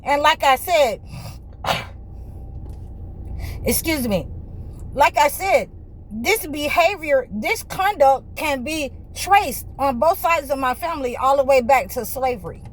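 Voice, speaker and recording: A woman with a high voice preaches to her viewers in a fervent, circling way. She keeps restarting her sentences and leaning on "you know", and at times pushes up close to a shout. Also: expressive, takes it slowly, pauses often, slight background noise, low-quality recording. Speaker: spiritual healer and channel host.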